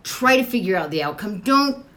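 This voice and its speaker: A woman's voice: mid-range voice, expressive, moderate pace, a bit bright. A woman in a heated personal conversation. She speaks firmly and insistently, cutting in with short, pointed commands.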